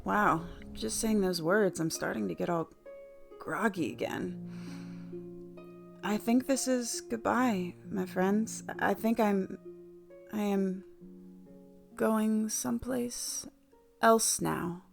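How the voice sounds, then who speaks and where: Actress playing earnest middle-aged woman, regretful, unhurried, halting, emotive, radio play, faint music behind. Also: medium pitch, creaky. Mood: faint, dazed. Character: earnest, regretful career woman newly arrived in afterlife.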